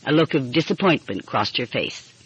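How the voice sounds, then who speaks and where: A woman's voice: a bass voice, big pitch swings, moderate pace, husky, dry recording. A woman reading a mystery story aloud as scripted audiobook narration. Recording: muffled, dull lo-fi audio.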